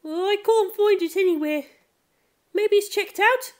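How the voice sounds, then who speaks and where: A narrator voicing a soft-spoken, questioning teenage girl in an audiobook story. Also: very high-pitched, a little slow, pitched above the performer's own voice. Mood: puzzled. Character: soft-spoken high-pitched teenage girl searching library shelves.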